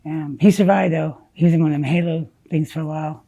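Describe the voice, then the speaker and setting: Gravelly low-voiced woman, halting talk. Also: fairly even intonation, mellow. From South Dakota, USA. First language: Spanish. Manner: halting, many fillers and restarts, blunt about grim details. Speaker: interviewee. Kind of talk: candid storytelling interview.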